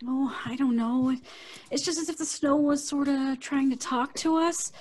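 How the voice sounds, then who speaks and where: A woman's voice: high-pitched, fairly even intonation, moderate pace, a little mellow, close to an everyday voice. A woman playing a timid, anxious and naive young woman with a hesitant, girlish manner in an old-time radio drama. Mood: uneasy.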